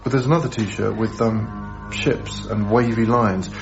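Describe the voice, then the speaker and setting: A man's voice: lowish voice, fairly even intonation, unhurried, creaky, lo-fi sound, over music. An actor playing a kindly, awkward young lodger in a naturalistic radio drama, hesitant and stammering as he speaks with concern. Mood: musing, warm. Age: young adult.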